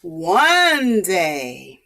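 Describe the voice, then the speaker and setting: A woman telling a story to young children in a dramatic, expressive, deliberate way. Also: fairly low pitch, slight background noise.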